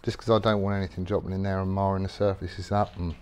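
A man's voice: low voice, little pitch movement, fast talker, a little mellow, smooth. A middle-aged man casually explaining his tool care while working in a garage workshop, rambling and matter-of-fact with many ums. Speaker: workshop vlogger.

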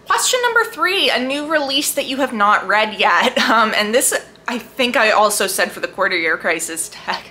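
Lively, bright-voiced woman chatting enthusiastically. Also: on the high side. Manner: enthusiastic, gushing with emphatic drawn-out words. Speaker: book vlogger and host. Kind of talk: casual chat to camera about books.

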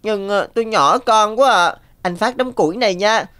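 A woman's voice: mid-range voice, moderate pace, a bit bright, natural voice. A woman voicing a sweetly deceitful, vengeful young rabbit in a cartoon. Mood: sly. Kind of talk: cartoon voice acting. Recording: faint music behind.

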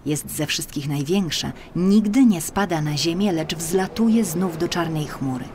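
A woman voicing an old grandmother who tells of wonders in a gentle, measured, mysterious way. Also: fairly low pitch, background noise, natural voice.

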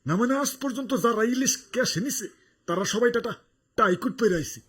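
A man's voice: extremely high pitch, fairly quick, noisy background. A man voicing a condescending fish-stall shopkeeper in a cartoon, in rustic dialect.

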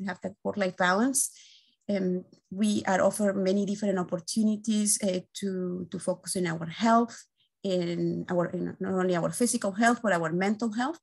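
A woman, giving an earnest, halting webinar presentation as an engineering team lead, often pausing on "uh", over a video call from an indoor room. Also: mid-range voice, creaky. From Guatemala. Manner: earnest, halting, with frequent "uh" fillers and restarts. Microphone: video call webcam.

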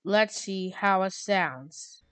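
Female tutorial host, casual and halting, clear-voiced, closely recorded with no room sound. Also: medium pitch, fairly bright tone, no room sound.

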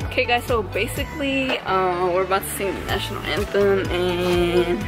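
A young woman chatting casually on a vlog, slow and self-mocking, picked up by a handheld camera. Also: medium pitch, music in the background.

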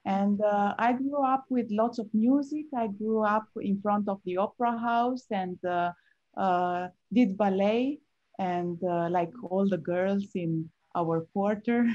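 A woman's voice: medium pitch, darkish tone. A woman in her forties from Romania, answering interview questions in hesitant over a video call. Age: 40s. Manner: hesitant, halting non-native English. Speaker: interview guest, novelist. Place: indoor room with bookshelves, on a video call. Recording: slightly dull video-call audio.

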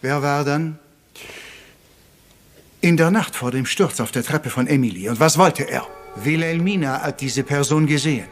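Guy acting as little detective, highish voice, polite and theatrical, music underneath, old crime radio play. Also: close to an everyday voice. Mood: confident, revealing. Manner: courteous, deliberate, peppering speech with French words. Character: polite, theatrical little Belgian detective, patient and self-assured.

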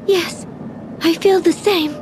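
Dutiful young heroine for a fantasy game: high, gentle, proper, earnest. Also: female voice, fairly even intonation, slow, heavy background noise. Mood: concerned. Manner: formal, proper diction. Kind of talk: video game voice acting.

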